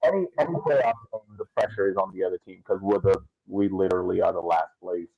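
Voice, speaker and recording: A man with a lowish, creaky voice chats casually about sports as a podcast panelist. The recording is dry and dull, with little room sound and a lo-fi tone. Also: quick pace, no room sound.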